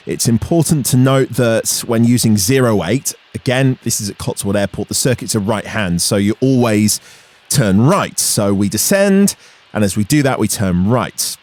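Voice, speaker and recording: A man's voice: on the low side, darkish tone. A man narrating an explanatory vlog as its host, in a voice-over style. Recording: music under the voice. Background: background music.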